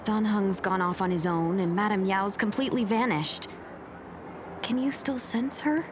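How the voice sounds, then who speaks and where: A woman playing a weary, serious leader in a fantasy video game, with a deliberate, somber way of speaking. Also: medium pitch, noisy background, natural voice. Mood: troubled.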